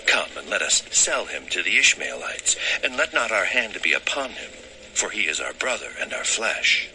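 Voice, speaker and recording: An actor playing a calculating, persuasive brother reasoning with others in a dramatized audio Bible. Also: male voice, fairly low pitch, sing-song, normal speed, bright, forceful tone, slight background noise, full character voice, low-quality recording. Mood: calculating persuasion.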